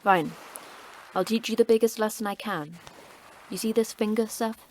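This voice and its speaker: A woman's voice: mid-range voice, moderate pace, darkish tone, a little hiss or hum, natural voice. A woman playing a terse, sardonic station manager. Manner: curt, dry, sardonic. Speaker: station manager of a secret facility.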